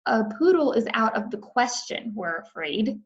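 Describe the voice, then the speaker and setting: A woman's voice: on the high side, sing-song, moderate pace, highs cut off. A woman voicing an eager little girl with childlike, emphatic phrasing in a children's storybook read-aloud. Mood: earnest. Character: eager little girl narrating her sleepover adventure.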